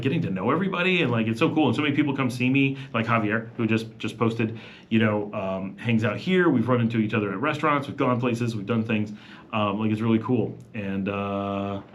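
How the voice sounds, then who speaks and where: Man, relaxed livestream host, chatty, reads comments out, casual and loose. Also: medium pitch, a little hiss or hum. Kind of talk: casual live Q&A chat.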